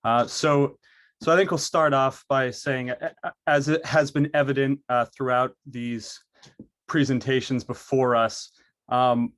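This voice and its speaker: A man's voice: medium pitch, steady tone, measured pace, a bit bright. A young man from Maine, a college student giving an earnest prepared presentation, with frequent fillers and restarts. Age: young adult.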